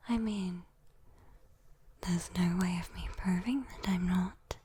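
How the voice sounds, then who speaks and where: A British woman playing a sweet, teasing, possessive female demon in a breathy, intimate ASMR roleplay whisper. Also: fairly low pitch, slow, mellow, slight background noise. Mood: teasing, coaxing.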